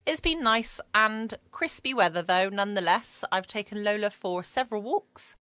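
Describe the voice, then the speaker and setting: A woman's voice: mid-range voice, expressive, normal speed, pushed and bright, no room sound. A woman with a southern English accent hosting a casual bedtime podcast in a chatty, rambling, playful way. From England. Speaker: podcast host. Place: small home studio.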